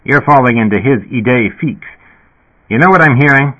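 A man's voice: mid-range voice, lively intonation, normal speed, pushed and bright, voice pushed up. An exasperated policeman, voiced by a male narrator in an audiobook. He is blunt and incredulous, pushing his argument hard. The sound is narrow, like an old radio. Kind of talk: audiobook character voice.

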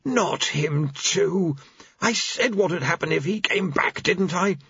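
A man's voice: high-pitched, slow, gravelly, bright, forceful tone, slightly rough, no room sound, dull audio, pitched above the performer's own voice, full character voice. A man voicing a whiny, nagging horseman of the apocalypse who complains self-righteously.

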